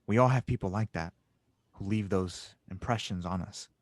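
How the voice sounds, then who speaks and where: Man acting a low-voiced, earnest young hero. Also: moderate pace, no room sound, clean studio sound. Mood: reflective. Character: determined, earnest young male hero engineer. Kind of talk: audio drama table read.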